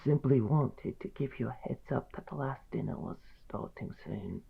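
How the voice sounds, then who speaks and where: Man acting timid church attendant, very breathy, crackly, hesitant, deferential, muffled, fantasy audio drama. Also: medium pitch, expressive, moderate pace, exaggerated voice. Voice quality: breathy, very creaky.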